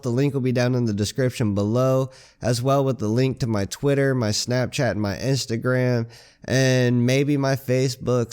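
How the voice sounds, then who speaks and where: A man with a raspy voice from a cold, reading a horror story aloud as a channel narrator in a slow, grim way. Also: mid-range voice, darkish tone. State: hoarse with a cold. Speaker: creepypasta narrator.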